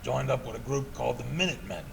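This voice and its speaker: An actor playing a weary, guarded ex-militia survivor and protector in a video game, speaking in a measured, grim way. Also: male voice, medium pitch, expressive, creaky, background noise. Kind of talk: video game character dialogue.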